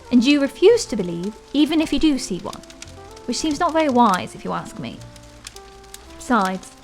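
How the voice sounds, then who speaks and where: A woman's voice: fairly high pitch, natural voice. A woman acting in an audio drama as a self-assured, logical young lady with a precise, arch way of debating.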